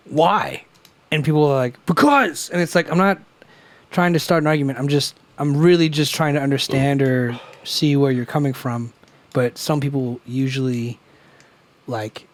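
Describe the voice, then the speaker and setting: A man's voice: a little high, no room sound. Profane young man, voice cracking. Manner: profane, joking, argues loudly. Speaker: podcast co-host. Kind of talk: casual chat over beers.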